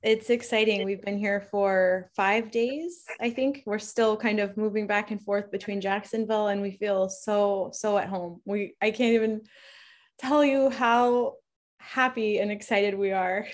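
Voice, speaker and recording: A woman co-hosting a podcast in a casual chat, who speaks warmly and gushingly, laughs easily, and uses frequent fillers. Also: mid-range voice, fairly even intonation, unhurried. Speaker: podcast co-host.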